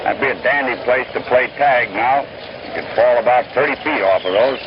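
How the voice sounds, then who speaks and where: A man's voice: mid-range voice, a little fast. A noisy, dull vintage radio recording of an American man doing baseball commentary. He has a mid-range voice and talks through the play in a folksy, conversational manner. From United States. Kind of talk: old-time radio sports play-by-play commentary. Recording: noisy, muffled 1930s-1940s radio recording.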